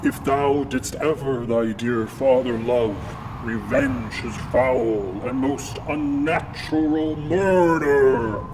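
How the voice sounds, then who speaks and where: A man voicing the mournful, tormented ghost of a murdered king and father in a storytelling performance, moaning solemnly with drawn-out words. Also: mid-range voice, background noise, natural voice.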